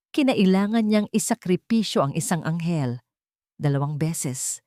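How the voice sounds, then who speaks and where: A woman with a fairly low voice narrates a dramatic story in a very dry, close recording. Her delivery is emotive and theatrical, with pitch that moves widely. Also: a little mellow, dry recording.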